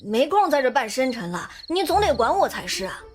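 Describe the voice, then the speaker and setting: Lady doing a precocious little boy, high squeaky voice, kinda whiny, audio drama. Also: sing-song, a little fast, darkish tone, soft background music. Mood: urgent. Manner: wheedling, childish whine. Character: clever four-year-old boy, precocious and cheeky.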